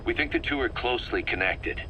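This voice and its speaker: A man playing a hardened, wry mercenary officer giving a deliberate, emphatic briefing in a video game. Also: medium pitch, animated, dull audio, slight background noise, natural voice. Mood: businesslike, warning.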